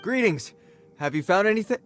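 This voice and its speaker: Earnest, animated formal swordsman. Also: high-pitched, a little fast, over music. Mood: polite. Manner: formal, polite, dutiful, slightly flustered. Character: earnest, formal young swordsman on patrol, awkward with children. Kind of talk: anime-style video game voice acting.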